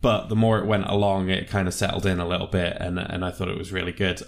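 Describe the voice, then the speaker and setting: Deep-voiced man chatting about music. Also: flat delivery, fast. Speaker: podcast co-host. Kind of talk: casual music review chat.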